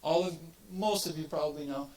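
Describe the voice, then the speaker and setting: A man's voice: on the high side, brisk, a little mellow. A man with a big voice giving an academic presentation as a guest lecturer. He explains in a loose way and often restarts his phrases, speaking into a handheld microphone in a lecture hall. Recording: echoey hall, distant mic, faint noise.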